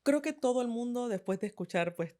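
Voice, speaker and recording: A man's voice: extremely high pitch, expressive, brisk, a little mellow. A man hosting a casual interview, giving short agreeing replies and asking loose, chatty questions. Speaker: interview host.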